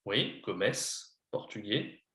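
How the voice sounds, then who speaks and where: A man teaching French on a video call to a beginner, switching between English and French and guiding slowly and patiently. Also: on the low side, sing-song, pushed and bright, roomy sound. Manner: patient, slow, coaching a beginner. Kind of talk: online lesson, mixing English and French.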